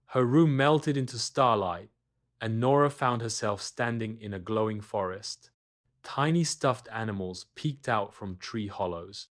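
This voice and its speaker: A man reading a scripted children's bedtime story as the storyteller. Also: mid-range voice.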